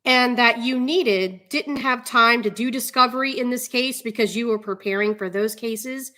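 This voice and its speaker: A woman playing a measured, skeptical judge in a dramatic reading of a court hearing, speaking deliberately with hesitant pauses. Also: on the high side, pushed and bright.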